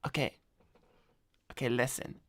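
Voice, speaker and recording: A man's voice: a little slow, dry recording, studio quality, lightly acted. A man voicing a cocky, laid-back cartoon hedgehog who chats in slang on an improvised livestream. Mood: casual, sympathetic. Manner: slangy, swearing, deadpan bragging. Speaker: cartoon character co-hosting an advice livestream. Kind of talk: improvised in-character chat, reading donor messages.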